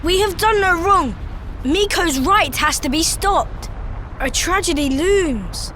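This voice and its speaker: A woman voicing an earnest young village boy in a video game, speaking in formal, measured, poetic phrases. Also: very high-pitched, animated, slight background noise, exaggerated voice. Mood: urgent, insistent. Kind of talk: video game cutscene voice acting. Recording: loud music behind the voice.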